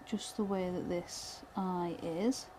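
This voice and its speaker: A woman's voice: on the low side, steady tone, takes it slowly, warm tone, slight background noise. Chatty British woman teaching wood burning. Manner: chatty, self-deprecating, thinks aloud with many fillers. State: voice going hoarse. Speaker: pyrography instructor. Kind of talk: live tutorial chat while working.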